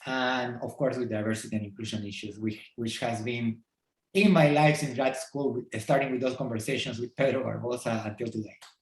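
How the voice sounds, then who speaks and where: A middle-aged man from Peru with a Peruvian Spanish accent, talking thoughtfully as a podcast guest, pausing often on fillers. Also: mid-range voice. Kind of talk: casual interview. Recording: roomy echo.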